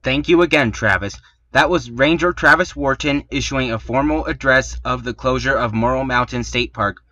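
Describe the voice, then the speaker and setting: A man's voice: mid-range voice, moderate pace, bright, forceful tone, dry recording, lightly acted. A man playing a male news reporter delivering scripted disaster coverage in a serious, formal way. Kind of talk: scripted newscast acting. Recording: dull, lo-fi microphone sound.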